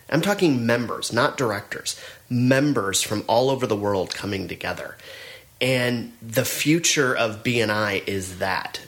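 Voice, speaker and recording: Middle-aged man, somewhat low voice, earnest, encouraging podcast co-host. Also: a bit bright. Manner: earnest, encouraging, with frequent restarts. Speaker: podcast co-host, business network trainer. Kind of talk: casual podcast chat.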